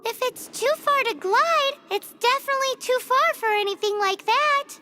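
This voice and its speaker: A woman voicing a tiny, excitable, childlike fairy companion with a squeaky voice in an anime video game. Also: normal speed, very noisy. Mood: doubtful.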